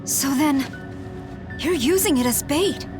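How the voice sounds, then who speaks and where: Female, high-pitched young heroine for a game, earnest and caring, music underneath. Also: slow pace. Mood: alarmed, urgent. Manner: earnest, questioning. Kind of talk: dramatic video game voice acting. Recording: music behind the voice.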